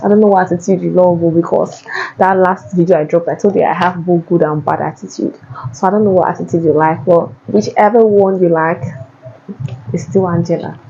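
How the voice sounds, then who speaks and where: Low-voiced Nigerian woman chatting. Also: steady tone, fairly quick, vocal fry, dark tone, muffled recording, a little hiss or hum. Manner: chatty, repeats herself, many fillers. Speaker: vlogger. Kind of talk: casual Q&A chat answering viewers.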